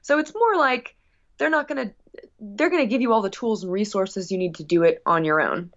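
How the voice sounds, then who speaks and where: A woman's voice: medium pitch, expressive, a little fast, lo-fi sound. A woman musician being interviewed casually, talking in a long-winded, rambling, earnest way. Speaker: guest musician.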